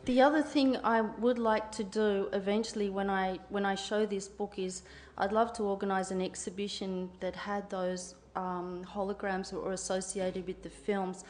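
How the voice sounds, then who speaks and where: A woman's voice: mid-range voice, fairly even intonation, darkish tone. Woman presenting an academic paper. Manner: formal and measured when reading, effusive and grateful in discussion. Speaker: conference speaker. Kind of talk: reading a paper, then answering questions.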